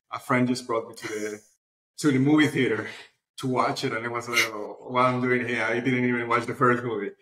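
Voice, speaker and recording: A man's voice: medium pitch, steady tone, fairly quick, pushed and bright, a little hiss or hum. A man speaking as an interview guest, casually telling his story in loose, conversational phrasing, recorded with roomy echo. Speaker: interview guest, platform founder. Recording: roomy, echoing voice pickup.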